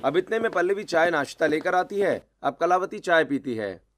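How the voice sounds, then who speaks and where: A man's voice: on the high side, lively intonation, normal speed, a bit bright, slight background noise. A man narrating a scripted moral story in a storyteller's role.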